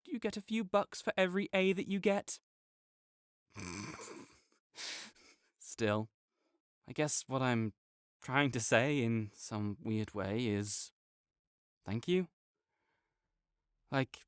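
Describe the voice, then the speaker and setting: A man's voice: mid-range voice, no echo, studio quality. A young man playing a prickly male academic rival who is grudgingly kind, talking teasingly and defensively to a silent listener in an audio roleplay.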